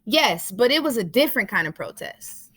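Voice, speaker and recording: Woman reading as patient storybook dad, bright voice. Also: a little high, big pitch swings, moderate pace, slightly rough, heavily acted. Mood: patient, serious. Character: patient, explaining father of young children in a storybook.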